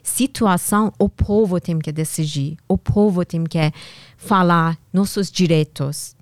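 A woman's voice: fairly low pitch, dry recording. Woman with a strong Persian accent. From Iran. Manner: halting, searching for words. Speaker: podcast guest. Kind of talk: casual interview answers.

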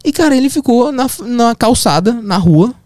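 A man's voice: very high-pitched, expressive, normal speed, a little mellow, no room sound, studio quality. A man with a Porto Alegre accent from southern Brazil, telling an anecdote casually and expressively, with slang and acted-out dialogue. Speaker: podcast guest, television producer and director.

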